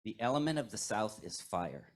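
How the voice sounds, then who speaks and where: A man leading a scripted, poetic guided meditation at a worship service, with measured, reflective phrasing. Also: fairly low pitch, animated. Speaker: worship leader at a fellowship.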